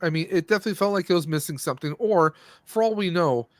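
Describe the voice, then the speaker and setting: A man's voice: sits high, quick pace, dry recording. A man hosting a live stream, giving a casual, rambling, jokey movie review.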